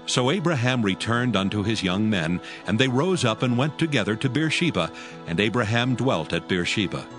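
A man narrating scripture in a solemn, measured and formal scripted style. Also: lowish voice, big pitch swings, music in the background.

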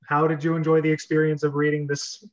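A man's voice: on the high side, monotone, fast talker, highs cut off. A man hosting a casual group chat over a video call. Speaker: podcast host.

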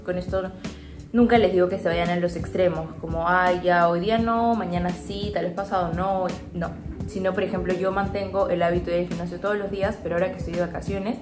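A woman's voice: fairly low pitch, roomy sound. A young woman in her twenties from Peru, with a Peruvian Spanish accent, chatting upbeat and confiding as a daily vlogger. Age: 20s. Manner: talkative, upbeat, confiding to viewers. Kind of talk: casual chatty vlog narration. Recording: background music under voice.

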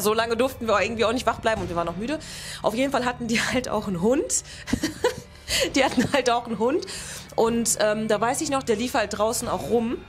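Young woman telling a rambling casual story. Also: mid-range voice. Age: 20s. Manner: rambling, colloquial, full of asides. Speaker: game show player telling stories. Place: indoor room, standing before a backdrop.

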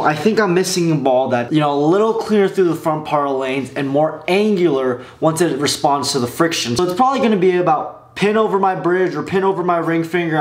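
Higher-pitched young man, roomy echo. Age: 20s. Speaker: bowling ball reviewer and vlogger. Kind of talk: casual video review, talking to camera. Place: small home room. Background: music.

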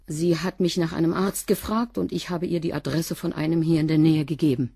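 A woman's voice: deep voice, steady tone, fairly quick, gravelly, mellow, slightly rough, no room sound, clean studio sound, full character voice. An actress playing a blunt, evasive cleaning woman in a radio drama who gives curt answers. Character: blunt, evasive older charwoman. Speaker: cleaning woman being questioned.

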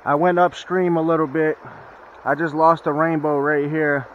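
A man's voice: a little high, little pitch movement, normal speed. A man chatting casually to viewers as a fishing vlogger, recorded outdoors on a body-worn action camera. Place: outdoors at a creek and under a bridge. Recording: dull action-camera sound, noticeable outdoor noise.

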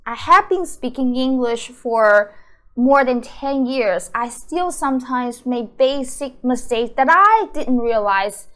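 High-voiced, slow Mandarin teacher woman. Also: animated, a bit bright. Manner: slow, careful repetition of example phrases. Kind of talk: language lesson to camera. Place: small indoor room.

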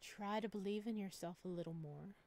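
A woman voicing a solemn, soft-spoken male priest in a visual novel, speaking slowly and quietly. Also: mid-range voice, close to an everyday voice. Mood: gentle solemn. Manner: slow, hushed and gentle.

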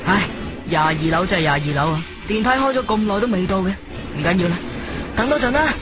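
Eager picky flat hunter muttering to himself, high voice, radio drama, music behind. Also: male voice, fairly quick. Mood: grumbling. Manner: musing aloud to himself. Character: eager, fussy male home buyer, grumbling and daydreaming. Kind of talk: Cantonese radio drama acting. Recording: music bed behind studio voice.

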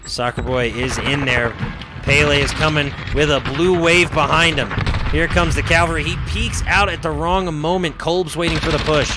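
A hoarse young man giving excited play-by-play commentary on an esports match, speaking into a headset from a home streaming setup. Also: fairly high pitch, normal speed, noisy background. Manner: excited, rising commentary calls. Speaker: esports caster.

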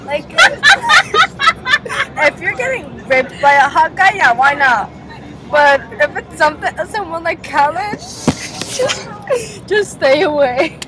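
A teenage girl vlogging on her phone inside a moving bus, loud, teasing and often laughing. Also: sits high, very animated, slow, low-quality recording. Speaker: vlogger filming on a class trip. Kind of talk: goofy casual vlog chat. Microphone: phone camera held at arm's length. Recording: crowded bus with chatter. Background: chatter of other passengers.

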